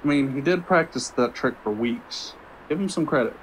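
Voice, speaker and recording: A man's voice: medium pitch, moderate pace, a little hiss or hum, lightly acted, rough recording. A man playing a casual, caring friend in an audio drama. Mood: reassuring.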